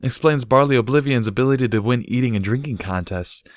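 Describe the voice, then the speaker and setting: A man's voice: mid-range voice, quick pace, dry recording, studio quality, voice pushed up, natural voice. A man voicing a cheerful, playful and chatty adult female pony in a storybook reading. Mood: teasing.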